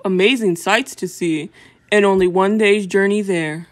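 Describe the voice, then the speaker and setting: A woman's voice: mid-range voice, fairly even intonation, unhurried, pitched above the performer's own voice, close to an everyday voice. A woman voicing an earnest travel-loving fish in rhythmic rhyme for a children's storybook. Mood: eager. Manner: earnest, rhythmic rhyming delivery.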